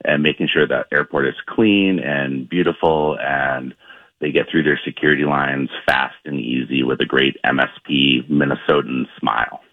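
Very deep-voiced man, dull audio. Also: no room sound. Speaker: interview guest, airport commission representative. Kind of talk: informal promotional interview answers.

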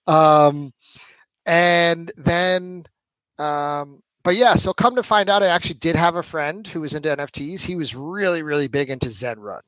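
A man in his forties, a podcast guest, telling his story in a relaxed, casual way with lots of fillers. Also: a little high, moderate pace, no room sound, dull audio. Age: 40s. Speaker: podcast guest, marketing executive. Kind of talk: casual interview chat.

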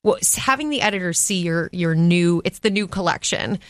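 A woman's voice: on the low side, lively intonation, brisk, no room sound. A woman hosting an interview in a studio, chatty and upbeat, laughing often. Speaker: podcast host. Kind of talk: casual interview chat.

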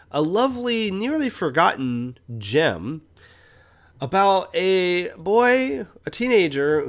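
A man co-hosting a casual film review podcast, speaking in an enthusiastic, opinionated way and often explaining things. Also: a little high. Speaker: podcast co-host.

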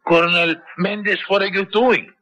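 Earnest captain, husky highish tense voice, old radio drama. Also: male voice, fairly even intonation, normal speed, pushed and bright, no echo. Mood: frightened pleading. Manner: earnest, emotional. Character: loyal, fearful Latin American army captain, pleading. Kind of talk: 1970s radio drama acting. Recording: dull vintage radio recording.

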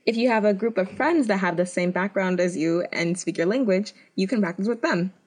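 A woman's voice: mid-range voice, fairly quick, a little mellow. Upbeat young woman, casual slang. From United States. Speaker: co-host. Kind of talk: casual scripted web video talk.

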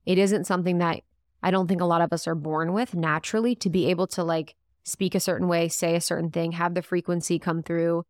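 A woman with a somewhat low voice hosts a podcast. She speaks in a thoughtful, chatty way and uses many fillers. The sound is dry and close. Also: fairly even intonation, fairly quick, darkish tone, no echo. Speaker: podcast host. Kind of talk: casual podcast conversation.

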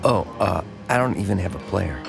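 Casting: withdrawn small-town loner, hesitant and apologetic, low register with vocal fry, radio play. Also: male voice, expressive, a little fast, music in the background, full character voice. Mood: apologetic, anxious. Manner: hesitant, evasive. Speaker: actor in audio drama. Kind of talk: naturalistic audio drama dialogue.

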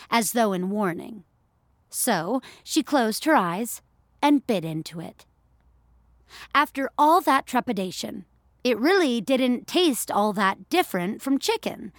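A woman's voice: on the high side, no echo, clean studio sound. A woman narrator reading scripted audio fiction as a storyteller.

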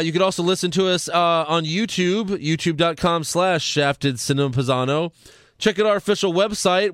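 A man's voice: high voice, a bit bright, clear, resonant tone, dry recording. A man hosting a casual podcast, teasing his co-host and reading out plugs. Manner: dry teasing, reads fan questions and show plugs.

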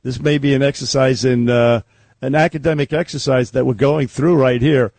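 A man's voice: mid-range voice, fairly even intonation, moderate pace, vocal fry, a little mellow, no room sound. A man hosting a political talk radio show, blunt and combative in his opinions.

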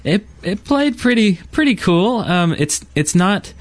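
A man's voice: high voice, sing-song, unhurried. A man co-hosting a gaming podcast, talking casually and with enthusiasm about what he describes. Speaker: podcast co-host.

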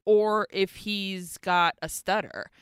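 A woman co-hosting a podcast in casual, lively chat, dropping in Spanish phrases. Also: medium pitch, slow, smooth, no echo, clean studio sound. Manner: lively, mixes in Spanish phrases, laughs often. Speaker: podcast co-host.

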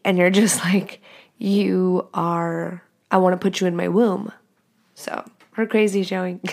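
A woman in her thirties hosting a podcast alone, blunt, profane and candid, with a raspy, nasal voice that is congested from a cold. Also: on the low side, a little mellow. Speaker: podcast host. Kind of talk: casual solo podcast monologue.

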